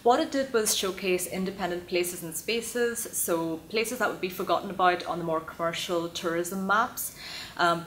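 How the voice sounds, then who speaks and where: A woman introducing her work on a conference panel, fluent and steady. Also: lowish voice, slight background noise. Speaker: panel speaker, design event founder. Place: conference stage, large hall.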